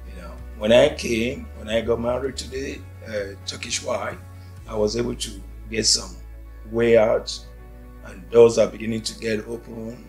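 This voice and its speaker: A man in his forties from Nigeria, a native Yoruba speaker, telling his life story in an interview with unhurried, thoughtful pauses. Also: lowish voice, fairly even intonation, a little mellow, poor audio. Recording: faint music behind.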